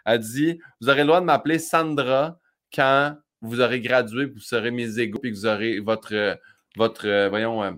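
A man's voice: medium pitch, fairly even intonation, fairly bright tone. A man hosting a casual interview, chatty and fond of acting out quoted lines. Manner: chatty, quick asides, acts out quoted lines. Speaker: host.

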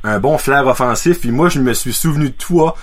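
A man's voice: mid-range voice, lively intonation, fairly quick. A man with a Quebec French accent hosting a casual sports interview, chatty, with frequent fillers and laughs. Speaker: radio show host.

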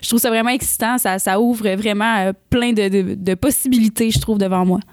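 A young woman in her twenties from Quebec, speaking with a Quebec French accent as a singer-songwriter guest in a casual interview, animated and laughing often. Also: mid-range voice, a little fast, no room sound, studio quality. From Quebec, Canada. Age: 20s. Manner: animated, laughs often, many fillers and restarts.

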